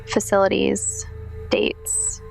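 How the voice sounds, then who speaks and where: An actress playing a dry, deadpan investigator reporting findings in a noir audio drama. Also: female voice, slow pace, soft background music, lightly acted, rough recording. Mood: dry, grave.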